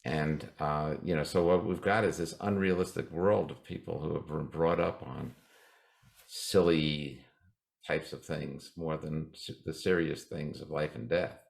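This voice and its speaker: A man in his seventies speaking as an interview guest in an emphatic way, often restarting and slowing down for key lines. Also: extremely low pitch, steady tone, vocal fry. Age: 70s. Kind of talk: interview talk.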